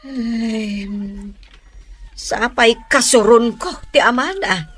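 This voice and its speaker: A woman playing a caring, anxious mother in an audio drama, giving advice in a gentle, drawn-out way. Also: on the high side. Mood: tender concern.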